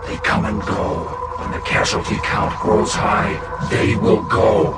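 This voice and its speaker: An actor playing a cold, eerie envoy of a secretive merchant house in a video game cutscene, speaking in clipped, formal, detached, halting phrases. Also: male voice, lowish voice, flat delivery, gravelly. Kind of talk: scripted video game cutscene acting, mission briefing. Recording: distant, echoing voice under loud music and noise.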